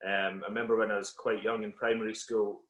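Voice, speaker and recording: A Scottish man whose accent has softened a little, a football coach talking as a guest on a video call in a relaxed, reflective way with many fillers. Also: fairly low pitch, fast, roomy sound, rough recording. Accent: Scottish, slightly Americanised. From Scotland. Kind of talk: casual interview on a call.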